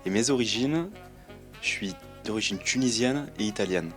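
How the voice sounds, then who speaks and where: A man, answering interview questions in a relaxed, slangy way and pausing often with fillers. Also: lowish voice, faint music behind. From Marseille, France. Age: 20s. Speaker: reality TV contestant interviewed.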